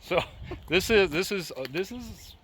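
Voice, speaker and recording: A man's voice: high-pitched, lively intonation, unhurried, fairly bright tone, slight background noise. A man in his forties with a Midwestern American accent, chatting casually as a vlogger outdoors. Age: 40s. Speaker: vlogger interviewing a friend. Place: outdoors at an old rural bridge.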